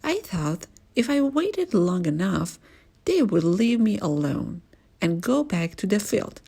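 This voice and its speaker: A woman reading a first-person children's story aloud in an expressive, animated storytelling manner. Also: mid-range voice, slow, vocal fry, slightly rough.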